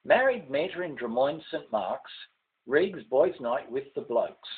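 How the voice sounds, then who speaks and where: A man's voice: mid-range voice, expressive, measured pace, breathy edge, phone-quality sound. An older Australian man recites a rhymed ballad in a declamatory, sing-song way, letting his voice fall at the ends of lines. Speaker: poet reading own poem.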